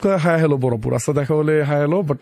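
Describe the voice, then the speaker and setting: A young man with a Bangladeshi accent telling a personal story casually as a guest, halting and colloquial, mixing in English words. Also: fairly high pitch, a little mellow. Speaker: interview guest.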